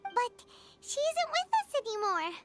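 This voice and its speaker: Super high squeaky little fairy sidekick for a game, excitable, really bouncy delivery, music behind. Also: female voice, unhurried, exaggerated voice. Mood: pondering. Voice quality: squeaky, childlike. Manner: expressive, refers to herself by name. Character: tiny excitable childlike fairy companion, female. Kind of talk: video game voice acting.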